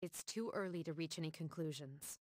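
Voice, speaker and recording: A woman's voice: low voice, fairly quick, darkish tone, no echo, clean studio sound. A woman playing a composed, authoritative older schoolgirl in an anime video game dub. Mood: calm, concerned. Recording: game music under the voice.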